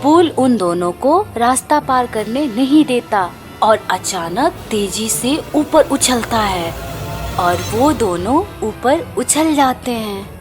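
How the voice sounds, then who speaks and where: A woman reads a scripted children's tale as narrator. Her voice is somewhat high and she takes it very slowly. Faint music plays underneath. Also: expressive. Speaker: story narrator.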